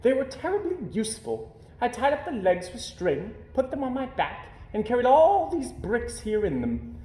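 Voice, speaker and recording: A man's voice: very high-pitched, sing-song, normal speed, dark tone, pitched above the performer's own voice. A man voicing a busy, polite female toy zebra in a storybook reading. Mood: polite, apologetic. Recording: roomy, echoey indoor sound.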